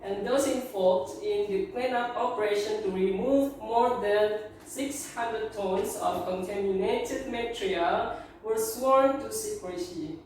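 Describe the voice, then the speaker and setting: A woman's voice: medium pitch, a little slow, a little mellow. Echoey, distant female student reading aloud. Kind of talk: reading a news text aloud in class. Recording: echoey room from afar, faint noise.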